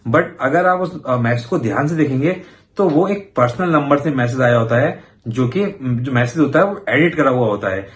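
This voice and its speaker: Guy doing an informal explainer to viewers, average pitch, chatty, drops english words in. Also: animated, moderate pace. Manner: conversational, mixes English words into speech. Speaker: host of a banking awareness video channel.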